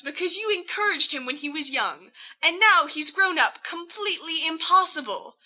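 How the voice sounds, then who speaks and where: A woman's voice: high voice, bright, forceful tone, pitched above the performer's own voice, heavily acted, rough recording. A woman voicing a furious young wife in an audiobook, scolding in an emphatic, clipped, demanding way.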